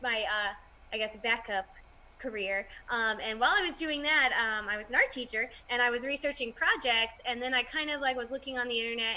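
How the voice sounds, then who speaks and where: Bright, bubbly young woman on a call. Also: on the high side, a little hiss or hum. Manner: bubbly, laughs often. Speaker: guest, party entertainment business owner. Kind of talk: casual video call chat.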